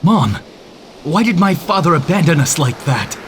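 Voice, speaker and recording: A young man voicing a brave, headstrong young male hero in an animated story, speaking earnestly and proudly. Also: a little high, moderate pace, slight background noise. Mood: upset. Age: young adult.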